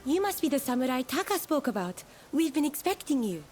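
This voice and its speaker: High-voiced, welcoming young geisha. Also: female voice, very animated, fairly quick, darkish tone, faint music behind. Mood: cheerful, welcoming. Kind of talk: video game cutscene acting.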